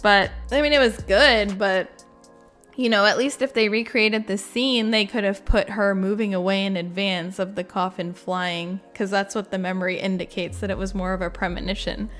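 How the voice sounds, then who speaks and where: A woman's voice: medium pitch, fairly even intonation, moderate pace, a bit bright, faint music behind. A woman giving informal, rambling reaction commentary, sprinkling in "like" as she goes. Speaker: video creator and game lore reviewer.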